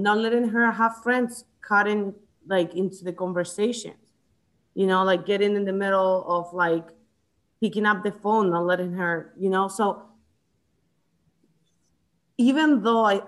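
A woman's voice: mid-range voice, a bit bright. A woman from Chile discussing a book in casual conversation, with frequent fillers, restarts and hesitations. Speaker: book club member.

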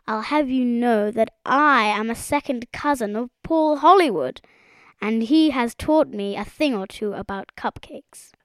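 A woman voicing a short, squat, boastful male frog with a pompous, excitable manner in a children's story. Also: high voice, unhurried, no echo, voice pushed up.

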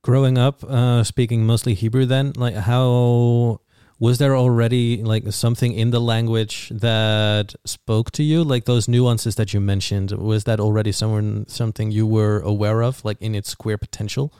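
A man hosting a conversational interview, asking questions haltingly with many restarts and fillers. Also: fairly low pitch, steady tone, mellow, clear, resonant tone, dry recording, studio quality. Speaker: host.

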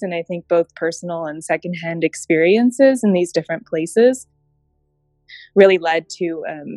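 A woman in her thirties, raised in Japan, speaking as an interview guest and reflectively recounting how she came to work in healthcare, with thoughtful pauses. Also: lowish voice, a little mellow, no echo. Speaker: guest, healthcare innovation director. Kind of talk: interview conversation.

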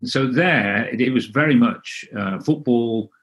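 A middle-aged man from North Wales, Welsh as his first language, answering interview questions in a halting, casual way with many restarts. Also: mid-range voice, a bit bright. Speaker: interview guest.

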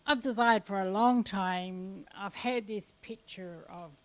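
Older kiwi lady telling her story slow and thoughtful, mid pitch voice. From New Zealand. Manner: unhurried, reflective storytelling. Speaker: congregation member sharing a testimony.

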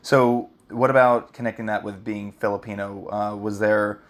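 A man's voice: on the low side, fairly even intonation, moderate pace. A man hosting a casual interview, easygoing as he asks follow-up questions. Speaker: interview host.

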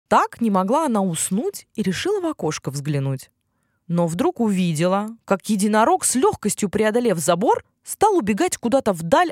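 A woman narrating a children's fairy tale as an expressive storyteller. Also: mid-range voice, no room sound, clean studio sound.

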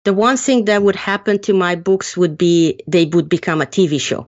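Woman from Hungary, lowish voice, measured earnest author answers, muffled lo-fi recording. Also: a little mellow. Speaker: author being interviewed. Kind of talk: prepared interview answers. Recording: muffled lo-fi sound.

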